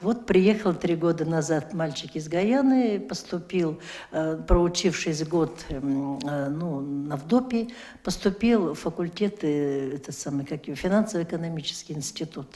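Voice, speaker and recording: A woman's voice: low voice, warm tone. A woman university lecturer speaking at a round-table discussion, explaining her points and asking rhetorical questions. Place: media center.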